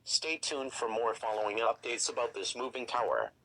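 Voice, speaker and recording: A man's voice: medium pitch, normal speed, very noisy, natural voice. A man playing a formal, impersonal announcer reading a scripted emergency broadcast message.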